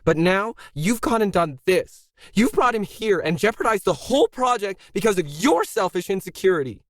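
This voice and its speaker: A man's voice: high voice, very animated, moderate pace, dry recording, pitched above the performer's own voice. Male narrator as middle-aged woman artist, tense, controlled anger, defiant, audiobook character, dry recording. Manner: tightly controlled, indignant.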